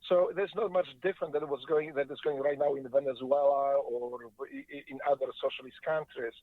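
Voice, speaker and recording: A man from Poland with a Polish accent, a guest telling his story in halting, earnest speech over the phone from a moving car. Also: medium pitch, steady tone, dry recording. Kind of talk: phone interview. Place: car on the freeway. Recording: tinny phone line, dry.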